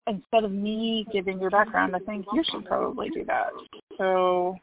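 A woman's voice: mid-range voice, steady tone, normal speed, vocal fry, a crowd in the background. A woman hosting a podcast in casual, unscripted chat, informal and self-deprecating. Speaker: podcast host. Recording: tinny phone-like sound.

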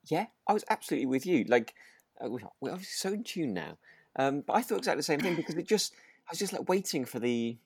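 A man's voice: a little high, very animated, quick pace, husky, no echo, clean studio sound. A British man co-hosting a podcast, chatting casually about a drama in a rambling, hesitant way. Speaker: podcast co-host.

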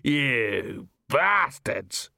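A man's voice: fairly low pitch, a little slow, pushed and bright, no echo, studio quality. A man voicing a grumpy, hot-tempered male dwarf baker in a storybook, blustering with indignation. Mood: furious, aggrieved.

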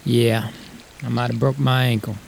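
An actor playing a volatile, ambitious 1890s drifter who talks in folksy slang as he pitches big schemes in an audio drama. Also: little pitch movement, normal speed, vocal fry, a little mellow, a little hiss or hum. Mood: matter-of-fact. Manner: folksy, slangy frontier talk. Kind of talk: acted screenplay reading.

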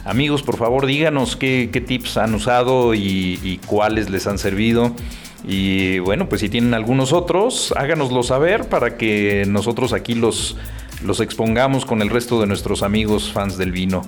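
A man's voice: lowish voice, normal speed, faint music behind. A man hosting an interview show, friendly and echoing his guest's words. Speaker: host.

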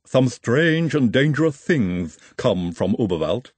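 A man voicing a mysterious, formal stranger who speaks slowly and politely. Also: medium pitch, big pitch swings, a little mellow, husky, no echo. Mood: grave. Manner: slow, measured and polite.

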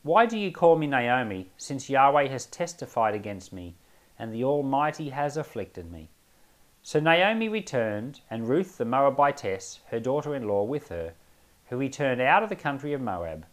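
A man speaking as a pastor, reading scripture aloud and explaining it informally, with expressive and emphatic phrasing. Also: medium pitch, moderate pace.

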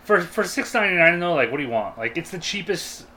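A man's voice: on the high side, very animated, fast talker, a crowd in the background. A man co-hosting a podcast, talking casually in a group discussion. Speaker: podcast co-host.

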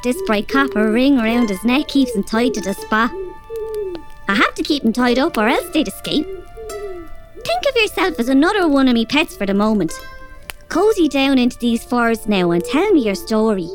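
A woman's voice: on the high side, very animated, moderate pace, music in the background. An actress with an Irish accent playing a tough, bossy robber girl who also narrates. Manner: bossy, threatening, blunt. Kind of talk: audio drama acting.

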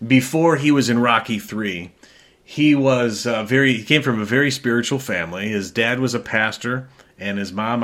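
Guy hosting a talk podcast, bright forceful voice, rambles and corrects himself a lot. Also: medium pitch. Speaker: podcast host. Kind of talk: casual chat.

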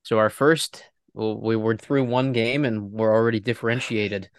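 A young man co-hosting a casual sports podcast while he is ill. His voice is smooth and clear, sitting a little low. He talks in an easygoing, confident way, and the recording is dry with no room echo. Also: steady tone, moderate pace. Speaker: podcast co-host.

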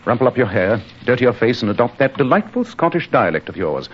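Sharp-witted british detective, clipped and sure of himself, swooping husky voice, muffled old radio sound. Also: male voice, medium pitch, very animated, fast. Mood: wry, confident. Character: brisk, commanding, self-assured English gentleman detective. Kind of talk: 1940s radio acting. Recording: dull 1940s radio recording.

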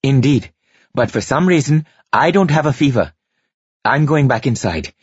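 Guy reading as rugged male tribe chief, calm but commanding, husky, storybook hero. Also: mid-range voice, moderate pace, dry recording, highs cut off, pitched above the performer's own voice. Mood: concerned.

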